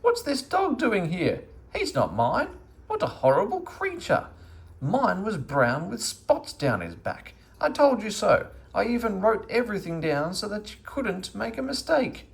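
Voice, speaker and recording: A man's voice: sits high, wildly swooping pitch, a little slow, gravelly, voice pushed up, full character voice. A man voicing a fussy, outraged older woman who exclaims and scolds in a children's storybook. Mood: indignant, scolding. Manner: exclaiming, indignant, fault-finding.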